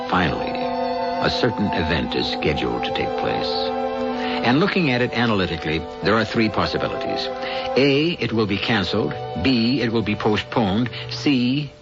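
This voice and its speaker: A low-voiced radio mystery host, narrating over clear music. His delivery is theatrical and portentous, swinging widely in pitch, with wry deliberation. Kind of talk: dramatic scripted radio narration. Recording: dull old radio recording.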